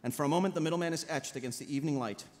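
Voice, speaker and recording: A man's voice: on the high side, racing. A man narrating a live table read and introducing a cast in a theatrical, grandiose manner. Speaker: series writer reading stage directions and hosting. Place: large convention hall panel stage. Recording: loud crowd noise in convention hall.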